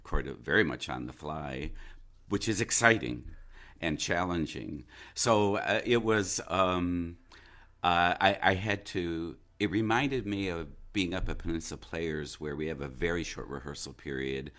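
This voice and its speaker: A man talking reflectively as an interview guest, an actor who pauses and searches thoughtfully for his words. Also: low voice, fairly bright tone. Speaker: interview guest, stage and radio-play actor.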